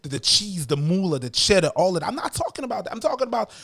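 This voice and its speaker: A man's voice: sits high, racing, gravelly, husky, no room sound. A middle-aged man who grew up speaking French, telling stories as a guest in a casual interview, with lots of stammered restarts. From Montreal, Canada. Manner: animated storyteller, stammering repeated restarts. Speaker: guest, street dancer.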